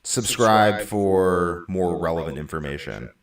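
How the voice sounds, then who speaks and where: A man in his twenties hosting a reaction video, chatting casually with wry, deadpan asides. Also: low-pitched, unhurried. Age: 20s. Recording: echoey room, distant mic.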